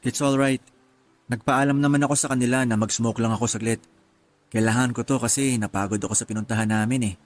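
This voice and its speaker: A medium-pitched young man played by an actor, soft-spoken and easygoing, a solo traveler in a radio drama. He slips casually between Tagalog and English, with some background ambience around him. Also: fairly even intonation, quick pace, slight background noise, natural voice. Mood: relaxed. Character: laid-back, well-off young male solo traveler.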